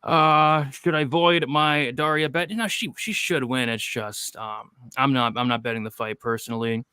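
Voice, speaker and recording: A man's voice: medium pitch, fairly even intonation, normal speed, pushed and bright, clean tone, dry recording. A man in his twenties hosting a casual betting livestream, reading chat questions and joking loosely. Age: 20s. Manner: loose, jokey, reads chat questions aloud. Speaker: host of a betting show.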